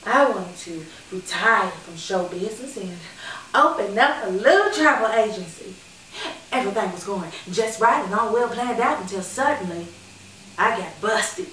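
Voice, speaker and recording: A woman performing a stage monologue as a sassy, candid retired call girl who reminisces fondly with playful, dramatic pauses. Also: mid-range voice, expressive, pushed and bright. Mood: frank, wry. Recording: echoey room, distant mic, background noise.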